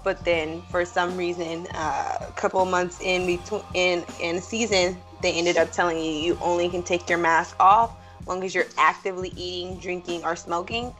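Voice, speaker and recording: A woman's voice: on the low side, steady tone, normal speed, hardly any pauses, fairly bright tone. Young woman, podcast co-host, easygoing, explains at length, faint music behind. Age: young adult. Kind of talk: casual podcast chat.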